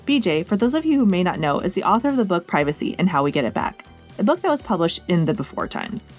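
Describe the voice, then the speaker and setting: An American woman presents as a podcast host with a lively, swinging delivery in a slightly low register. She talks directly to listeners in scripted but chatty lines with playful asides. Some music sits under her voice. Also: fast talker.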